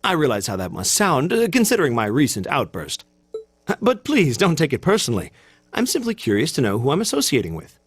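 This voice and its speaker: A stiff, bookish young male cadet, with an American accent. His voice is lively in pitch and a little husky. He speaks formally, with proud, self-conscious hesitations, like a video game character, recorded very dry. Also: brisk, dry recording, faint music behind. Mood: formal, curious.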